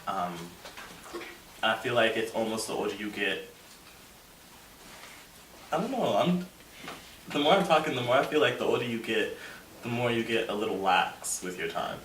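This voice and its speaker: A young man in his twenties with a lowish voice, talking earnestly and loosely. He fills his sentences with "like". He sounds distant in an echoey room with faint background noise. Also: animated, normal speed, pauses often, fairly bright tone. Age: 20s. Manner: earnest, rambling, many fillers like "like". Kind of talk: casual group discussion.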